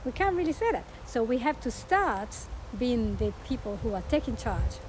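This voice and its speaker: A middle-aged woman with an Italian accent speaks earnestly to her audience, her voice sitting a little high and lifting often for emphasis. The recording carries a clear hiss of background noise. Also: sing-song, normal speed. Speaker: podcast host and life coach. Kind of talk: promotional monologue.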